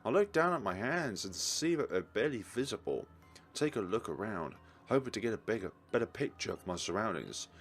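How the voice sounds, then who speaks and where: A man's voice: on the low side, very animated, fairly quick, soft background music. A man reading visual novel narration aloud as a let's player, theatrical with varied emphasis and occasional stumbles. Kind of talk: dramatic reading of game narration.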